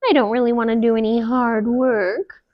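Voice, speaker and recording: A woman's voice: on the high side, steady tone, normal speed, highs cut off, lightly acted. A woman doing a sleepy, lazy little kitten. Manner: drowsy, mewing.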